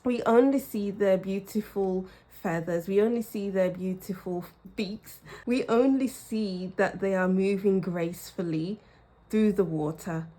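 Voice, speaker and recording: A woman reads tarot for her viewers at an unhurried, drawn-out pace. Her tone is soft and mellow, reflective, and she repeats phrases for emphasis. Her throat sounds slightly croaky. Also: medium pitch. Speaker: tarot reader. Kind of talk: pick-a-card tarot reading.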